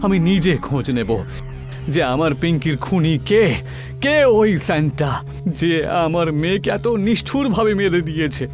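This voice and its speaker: A man plays a grieving father in a horror cartoon, pitched high and melodramatic. He sounds tearful and bent on revenge. Music runs faintly underneath. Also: animated, measured pace. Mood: enraged grief. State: crying. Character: grieving, vengeful father of a murdered child.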